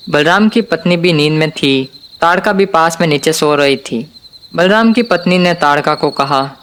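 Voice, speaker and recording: A man's voice: fairly high pitch, a bit bright, slight background noise. A man narrating a scripted cartoon folk tale for children as storyteller.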